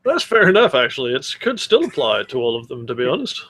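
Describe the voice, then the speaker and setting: A man's voice: medium pitch, big pitch swings, quick pace, fairly bright tone. A man co-hosting a comedy podcast with wry, sardonic banter and mock-dramatic readings. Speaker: podcast co-host.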